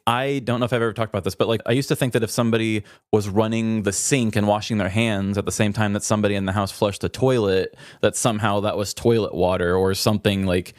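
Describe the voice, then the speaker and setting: A man's voice: on the low side, steady tone, fast, hardly any pauses, dry recording, studio quality. A man co-hosting a podcast, telling stories and reading listener messages in an informal, chatty way. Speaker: podcast co-host.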